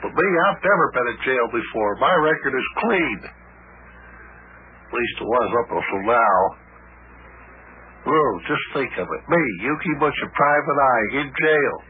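A man's voice: mid-range voice, lively intonation, a little slow, long pauses, phone-quality sound, lightly acted. A man acting a grumbling, self-pitying cab driver turned private eye in an old-time radio comedy, lamenting in a melodramatic way. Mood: woeful. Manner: woeful, melodramatic, repetitive lamenting. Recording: thin, noisy old radio recording.

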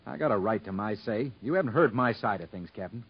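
A man's voice: on the low side, animated, fast, slightly rough. A man acting a stubborn, sullen convict in a 1950s radio drama, speaking in plain rustic, defiant phrases. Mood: sullen, aggrieved. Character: stubborn, sullen male convict, proud and embittered. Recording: muffled, noisy vintage radio broadcast.

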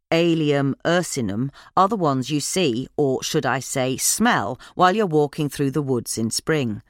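A narrator reading a gardening article aloud in a measured, instructional manner. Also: mid-range voice, no echo, studio quality.

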